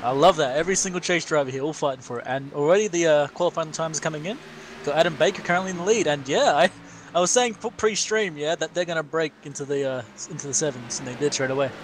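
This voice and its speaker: A man's voice: on the high side, fast, a little hiss or hum. A man giving chatty, informal co-commentary on sim racing.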